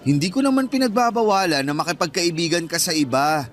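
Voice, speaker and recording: A wounded young Filipino bagger, played by a man in a radio drama. His voice is notably high for a man, and he presses his friend with insistent, accusing questions. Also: quick pace, lightly acted. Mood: hurt, accusing. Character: hurt, confrontational young male supermarket bagger, close friend.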